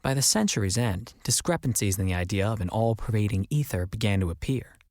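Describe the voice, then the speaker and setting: A man reading as an audiobook narrator, in an expressive scripted storytelling style. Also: lowish voice, a little fast, no room sound, studio quality.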